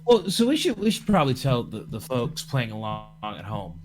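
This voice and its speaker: A man's voice: mid-range voice, huge pitch swings, normal speed, rough recording. A man hosting a livestream podcast over computer voice chat, chatting casually in a rambling, jokey way. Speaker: podcast host.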